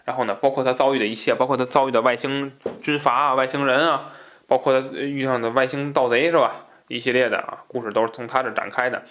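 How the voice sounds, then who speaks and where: A man's voice: medium pitch, fairly bright tone, lo-fi sound. Man hosting an informal explanatory book talk. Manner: conversational, rambling asides, repeats phrases for emphasis. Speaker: podcast host.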